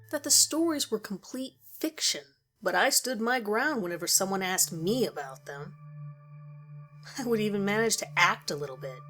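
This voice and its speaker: A woman reads a first-person horror story as narrator, in measured, deliberate phrases with pauses. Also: medium pitch, a bit bright. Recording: music under the voice. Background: background music.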